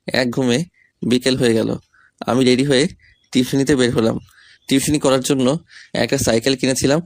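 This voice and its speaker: Young male narrator and lead for romantic audio story, mid-range pitch, scripted delivery. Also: no echo.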